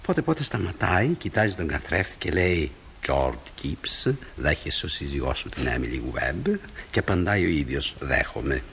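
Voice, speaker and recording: A man playing a genial, sentimental middle-aged father in a radio play, in an expressive, reminiscing way. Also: rumbling low, creaky, slight background noise, heavily acted. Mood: amused.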